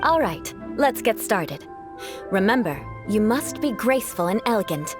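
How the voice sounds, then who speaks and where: A woman's voice: medium pitch, sing-song, moderate pace, over music, lightly acted. A woman voicing a proud, composed young aristocratic knight in a video game, speaking formally and with refinement. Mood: instructive, haughty.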